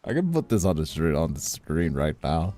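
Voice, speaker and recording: Male streamer, casual and sweary, very low bright voice with lively swings, light music underneath. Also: moderate pace. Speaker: streamer, VTuber host. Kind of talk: casual livestream chat, giggly banter while working.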